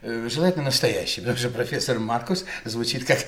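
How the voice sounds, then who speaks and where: An actor playing a courteous, slightly fussy small-town official with formal manners in a theatrical radio play. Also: male voice, mid-range voice, fast, a bit bright, slight background noise, lightly acted. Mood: polite, officious. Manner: polite, formal.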